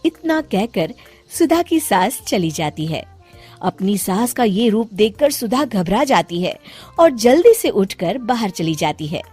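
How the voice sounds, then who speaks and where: Unhurried woman storyteller over soft music. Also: medium pitch, big pitch swings. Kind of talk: scripted narration of animated moral stories.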